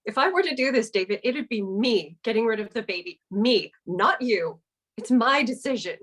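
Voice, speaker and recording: A woman's voice: fairly high pitch, expressive, moderate pace, fairly bright tone. An actress reading a pregnant fifteen-year-old girl who speaks earnestly and firmly at a table read.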